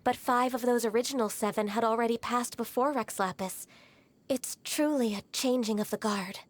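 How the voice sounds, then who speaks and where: A woman playing a gentle, dutiful half-human adeptus secretary in a video game, with polite, formal, sometimes hesitant speech. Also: fairly high pitch, moderate pace, lightly acted. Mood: wistful, composed.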